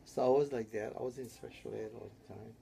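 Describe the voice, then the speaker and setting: A man's voice: fairly low pitch, fairly even intonation, fast talker, gravelly, mellow, slight background noise. An older man from Hawaii, telling his life story in an oral history interview, rambling and tagging his sentences with "yeah?". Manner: rambling, tags statements with "yeah?".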